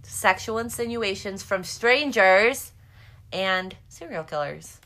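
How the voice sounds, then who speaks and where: A woman's voice: medium pitch, animated, takes it slowly, pushed and bright. A young woman with a slight lisp, co-hosting a podcast, telling stories in a casual, gossipy, candid way with frequent giggles. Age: young adult. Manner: giggly, candid, sweary oversharer. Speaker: podcast co-host. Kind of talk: casual gossipy chat and storytelling.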